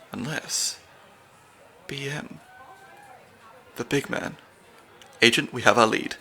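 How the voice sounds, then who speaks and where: Young man playing a spy handler, bright-edged voice, gravelly, analytical, dry humor, slightly anxious, asmr audio roleplay. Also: medium pitch. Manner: analytical, dry wit, soft-spoken. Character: male stand-in spy handler, nerdy, wry, slightly anxious.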